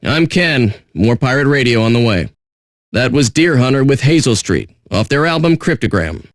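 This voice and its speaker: An actor playing a surly, sarcastic radio DJ in a video game, with deadpan, contemptuous patter. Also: male voice, medium pitch, normal speed, dry recording, clean studio sound, lightly acted. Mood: deadpan, smug.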